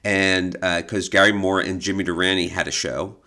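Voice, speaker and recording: Low-voiced man telling stories on a podcast. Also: flat delivery, moderate pace, sharp tone. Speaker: podcast co-host. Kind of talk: casual conversational storytelling about art history.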